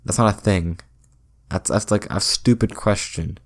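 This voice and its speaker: A young man hosting a solo podcast, rambling through deadpan, self-deprecating jokes with frequent restarts. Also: fairly low pitch, a little slow. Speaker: podcast host.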